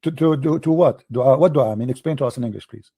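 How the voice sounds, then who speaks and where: Insistent man with a dry, mellow voice. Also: medium pitch, fast, breathy edge, no echo. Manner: insistent, repeats points, nonstandard English grammar. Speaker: debate host. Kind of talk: argumentative religious debate.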